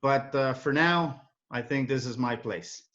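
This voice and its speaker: A man's voice: mid-range voice, measured pace, a bit bright. A middle-aged man from Ecuador with a Spanish accent, a native Spanish speaker, answering interview questions in a reflective, explanatory way. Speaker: interview guest, English teacher. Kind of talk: casual interview. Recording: dull, lo-fi call audio.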